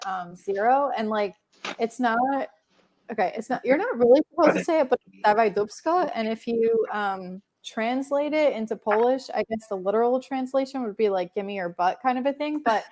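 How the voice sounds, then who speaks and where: A woman's voice: on the high side, moderate pace, a little mellow. A young woman hosting a casual livestream chat, rambling with many fillers and laughing often. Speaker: livestream host.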